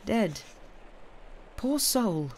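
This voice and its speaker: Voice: mid-range voice, animated, slow, pitched above the performer's own voice. A narrator playing a timid, browbeaten man in an audiobook, mumbling and hesitant, as if dazed. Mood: dazed, shaken. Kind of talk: dramatic audiobook character voice.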